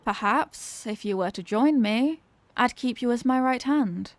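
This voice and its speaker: A woman's voice: a little high, unhurried, no room sound. A woman voicing a dominant, mocking female villain in an ASMR roleplay, with a condescending, teasing, theatrical manner. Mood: seductive, coaxing.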